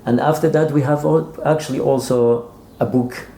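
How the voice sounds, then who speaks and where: Mellow, creaky-voiced man in a roomy space. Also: mid-range voice, steady tone. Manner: halting, repeats words as he searches for phrasing. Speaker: interviewed author and publisher. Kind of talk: interview conversation. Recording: roomy echo, faint background noise.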